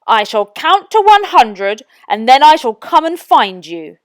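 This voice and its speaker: Woman acting a booming, gloating wicked storybook wizard. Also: sing-song, measured pace, no room sound. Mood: commanding.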